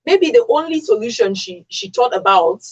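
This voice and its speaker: A woman's voice: high-pitched, very animated, normal speed, creaky, a little mellow, breathy edge, highs cut off. A woman with a Nigerian accent speaking as a radio panelist, emphatic and conversational, repeating herself and posing rhetorical questions. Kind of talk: opinionated conversational discussion.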